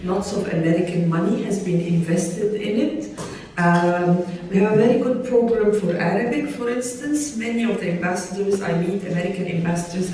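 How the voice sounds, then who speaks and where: A woman professor from Egypt giving an earnest public talk, pausing often with fillers and restarts. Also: medium pitch, darkish tone, a little hiss or hum. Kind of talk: public talk to a seated audience. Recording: echoey room voice from far off, faint ambience.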